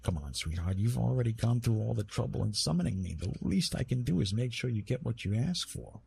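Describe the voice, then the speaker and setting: A man playing a retired god of love in an intimate ASMR roleplay, tender and teasing, speaking softly with a husky, breathy voice and halting, reassuring delivery. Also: mid-range voice, animated, full character voice. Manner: gentle, reassuring, halting with pauses and self-corrections.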